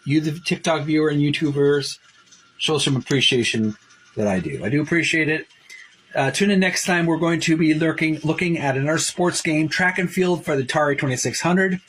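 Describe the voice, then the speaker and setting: A man's voice: a little high, fairly quick, a bit bright, slight background noise, poor audio. A middle-aged man hosting a casual video, describing box art in a rambling, hesitant way with many fillers and restarts, and calling his viewers folks. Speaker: host.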